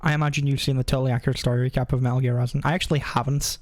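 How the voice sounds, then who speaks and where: A man's voice: mid-range voice, level tone, racing, dry recording, studio quality. A man chatting casually on a live game stream, rambling and often interrupting himself.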